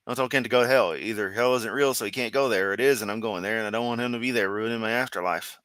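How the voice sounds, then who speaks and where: A man's voice: lowish voice, quick pace, a bit bright, clean tone, dry recording. Sarcastic rambling man on a dry mic. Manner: rambling, sarcastic, distracted while clicking around. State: sore throat. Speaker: livestream host. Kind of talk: casual live-stream commentary.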